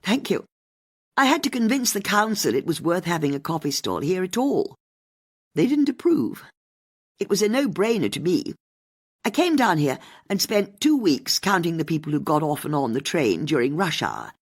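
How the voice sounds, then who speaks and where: A woman voicing a friendly young horsey upper-class woman with a cut-glass English accent, brisk, bright and open, in an audiobook. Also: fairly low pitch, very animated, husky, pitched above the performer's own voice. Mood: cheerful.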